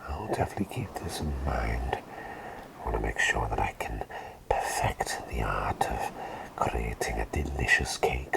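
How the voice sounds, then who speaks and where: Man playing a very deep whispering plague doctor. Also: full character voice, rough recording. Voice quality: breathy whisper. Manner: soothing, hesitant, politely formal. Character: gentle, attentive male plague doctor pampering a patient. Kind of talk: ASMR roleplay.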